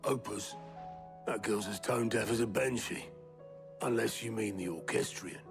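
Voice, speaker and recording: A British actor playing a gruff, wry middle-aged man in a fantasy video game, blunt and colloquial. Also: on the low side, unhurried. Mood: fondly exasperated. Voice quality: gravelly, creaky. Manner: blunt, colloquial, dryly teasing. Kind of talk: cinematic video game acting. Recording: music behind the voice.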